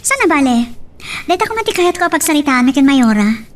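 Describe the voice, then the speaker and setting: Confiding woman, Ilocano accent, very high swinging voice, radio drama, slightly distant. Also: sing-song, a little fast. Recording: distant mic.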